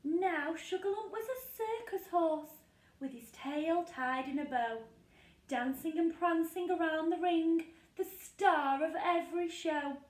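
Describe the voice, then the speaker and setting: A woman reading a rhyming picture book aloud to young children, sing-song and expressive, with dramatic pauses. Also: very high voice. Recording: echoey room, distant from mic.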